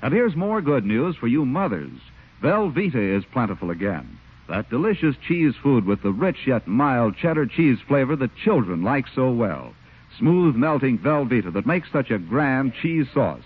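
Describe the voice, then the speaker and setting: A male announcer from forties radio, selling in a polished, folksy way. His delivery is very lively and a little husky, heard through a tinny broadcast with music. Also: mid-range voice, normal speed. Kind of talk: 1940s radio announcing and sponsor ads.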